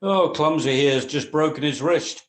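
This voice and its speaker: A man acting a gruff, callous, domineering stepfather in a script read, scornful and given to exasperated orders. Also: fairly high pitch, a little slow, lightly acted. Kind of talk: actor's script table read.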